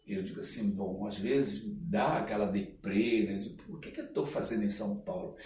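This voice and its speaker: An older Brazilian man shares his stories as an interview guest in a conversational, reflective manner. He is expressive and often seeks agreement as he goes. The recording is roomy, with him set back from the mic and faint noise underneath. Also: on the low side, moderate pace, far from the mic. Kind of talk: conversational storytelling.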